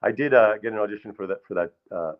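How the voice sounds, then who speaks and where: A man's voice: on the low side, lively intonation, a little fast, narrow, tinny audio. A middle-aged man telling funny, rambling stories about acting to a live audience, with lots of restarts. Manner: rambling, self-deprecating, many restarts. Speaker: storyteller performer. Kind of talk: live comedic storytelling. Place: small hall with live audience.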